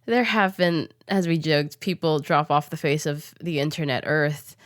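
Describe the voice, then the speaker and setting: A woman's voice: deep voice, steady tone, normal speed, no room sound, studio quality. A woman hosting a casual interview in a conversational style. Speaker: interviewer, show host.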